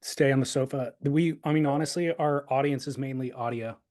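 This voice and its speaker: A man's voice: mid-range voice, steady tone, a little fast, a little mellow. A man hosting a surf podcast, chatting casually and easygoing over a video call. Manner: easygoing, conversational with fillers. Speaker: podcast host. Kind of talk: casual chat.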